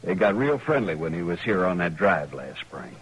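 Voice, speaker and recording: An actor playing a surly, jealous rancher villain with gruff rustic talk in a 1950s western radio drama. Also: male voice, sits low, very animated, normal speed, a bit bright, full character voice. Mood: bitter, defiant. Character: surly, jealous male rancher, a wife killer. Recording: noisy old radio recording.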